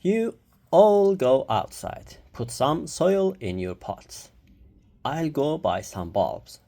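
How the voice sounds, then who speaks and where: A storyteller plays a cheerful, literal-minded woman teacher in a children's storybook. She is folksy and earnest, easily puzzled, and speaks at an unhurried pace with animated pitch. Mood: folksy, businesslike.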